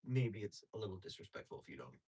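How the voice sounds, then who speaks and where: A man's voice: on the low side, big pitch swings, quick pace, warm tone, slightly rough. A man, a comedian, chatting animatedly on a podcast and slipping into little put-on voices. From Greek family. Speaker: comedian guest. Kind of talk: casual podcast chat.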